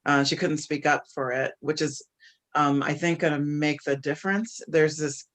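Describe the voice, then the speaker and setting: A man speaking reflectively and haltingly in a casual discussion on a video call, often posing rhetorical questions. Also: on the high side, fairly even intonation, fairly bright tone. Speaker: discussion participant who coaches executives.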